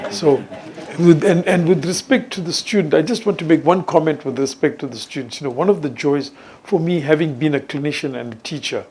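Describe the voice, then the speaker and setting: Older male professor, husky tone, slightly high pitch, deliberate unscripted speaking. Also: a little mellow. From South Africa. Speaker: professor and rheumatologist speaking on a panel. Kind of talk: unscripted talk to students.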